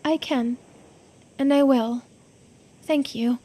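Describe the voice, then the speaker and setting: A woman's voice: high-pitched, fairly even intonation, takes it slowly, warm tone. A woman voicing a girlish, earnest and resigned immortal character in a visual novel. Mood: resigned, sincere.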